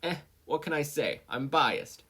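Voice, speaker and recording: A man's voice: on the high side, expressive, measured pace, sharp tone, breathy edge, pitched above the performer's own voice, full character voice. A man voicing a spirited, blunt professional heroine in a story reading, breezy and matter-of-fact. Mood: breezy confident.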